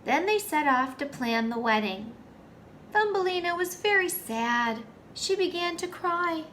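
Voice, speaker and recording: A woman teacher reading a story aloud to young children, with simple, deliberate phrasing and questions for her listeners. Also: extremely high pitch, lively intonation, fairly bright tone, slight background noise.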